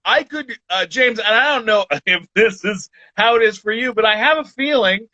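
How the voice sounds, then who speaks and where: A man's voice: high-pitched, bright, forceful tone, no room sound. Fortyish guy rambling with lots of restarts, dry close sound, kinda muffled. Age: 40s. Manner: rambling, halting storyteller with many restarts. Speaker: guest. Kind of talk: casual chat. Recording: dull lo-fi sound.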